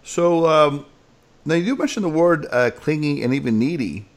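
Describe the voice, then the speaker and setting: A chatty American man hosts a podcast interview. His voice sits a little high and moves around a lot in pitch. He speaks in an animated, conversational way. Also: normal speed. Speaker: host, clinical psychologist and speaker.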